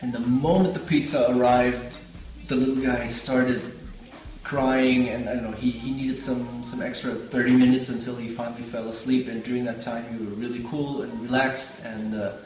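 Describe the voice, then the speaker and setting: A man's voice: mid-range voice, little pitch movement, normal speed, very echoey, distant mic, rough recording. A man giving an earnest, rambling farewell message to a colleague before a pizza dinner. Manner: earnest, warm-hearted, rambling. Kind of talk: casual farewell message to camera.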